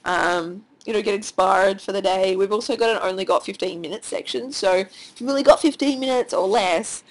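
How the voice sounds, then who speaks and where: An Australian woman with a cold and a nearly lost voice, chatting excitedly and rambling with many fillers as she walks through her site at home. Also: medium pitch, fairly quick. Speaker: online course creator. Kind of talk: casual screen-share walkthrough. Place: at home with children nearby.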